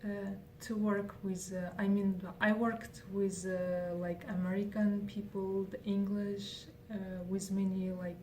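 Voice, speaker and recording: A young woman with a Kazakh accent speaks slowly and haltingly, pausing often on fillers as she searches for words. Her voice is mellow and soft. She sits a little back from the microphone, with faint noise behind her. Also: mid-range voice, little pitch movement. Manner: hesitant, many fillers and restarts. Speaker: interviewee. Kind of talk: casual interview answers. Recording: faint noise, distant from mic.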